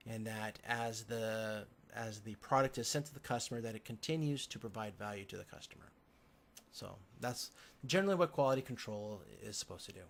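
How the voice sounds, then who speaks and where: A man lecturing from slides, explaining step by step in a halting way. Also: mid-range voice.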